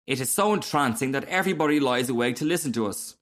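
A self-satisfied little frog voiced by a man reading a storybook. He is cheerful and talkative, pitched well up high with lively swoops, and has a genteel, complacent way of speaking. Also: fast, close to an everyday voice. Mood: cheerful, boastful.

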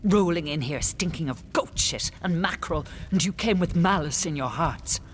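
An actress playing a grieving, defiant queen of a fallen city, speaking in a bitter, measured and scornful way in first-person radio drama narration. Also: low-pitched, expressive, in a large hall, a little hiss or hum.

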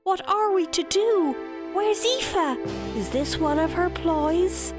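A woman's voice: very high voice, expressive, slow, music in the background, pitched above the performer's own voice, full character voice. A woman voicing a frightened young princess, an anxious child pleading in a storybook tale.

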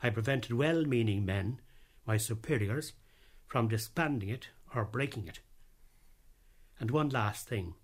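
A man's voice: medium pitch, lively intonation. Emphatic, precise man. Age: middle-aged or older. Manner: emphatic, precise, deliberate phrasing with repetition for effect. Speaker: radio drama founder giving his recollections. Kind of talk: scripted personal radio talk.

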